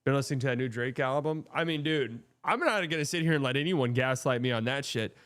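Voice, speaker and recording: Smooth-voiced man hosting a game stream. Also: medium pitch, quick pace, a bit bright. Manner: blunt, profane, reads and answers chat. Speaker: streamer hosting a watch party. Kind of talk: casual live game-watching chat.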